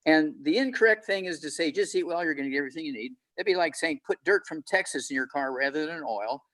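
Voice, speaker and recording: A man's voice: on the high side, lively intonation, fast talker, fairly bright tone, rough recording. A man in his eighties, a panel guest on a video call, talking boastfully and rambling with many fillers. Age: 80s.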